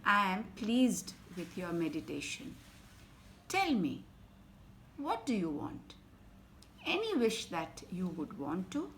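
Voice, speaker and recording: A woman telling of a wise, calm creator god. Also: medium pitch, slow, pauses often, a little hiss or hum. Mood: pleased. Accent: Indian English.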